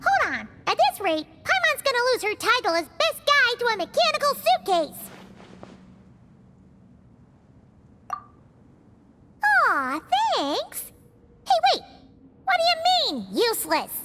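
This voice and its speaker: A woman voicing a small, excitable, childlike fairy companion in a video game, with an exclamatory manner. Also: very high-pitched, normal speed, a little hiss or hum, full character voice. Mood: indignant. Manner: speaks of herself in the third person, exclamatory.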